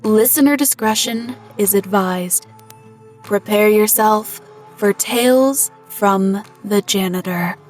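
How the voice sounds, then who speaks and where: A woman delivers a scripted announcement as a show intro. The voice is medium in pitch and very unhurried, with music underneath. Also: darkish tone.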